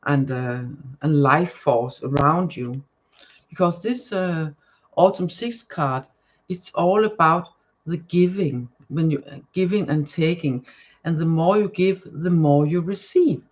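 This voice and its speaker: A Danish-accented woman, Danish by first language, talks viewers through a tarot reading at a slow, easy pace. She stops often to hunt for the right word. The recording sounds a little dull and lo-fi. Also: low-pitched. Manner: halting, often searching for words. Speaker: tarot card reader. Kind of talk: live-stream card reading, chatting to viewers.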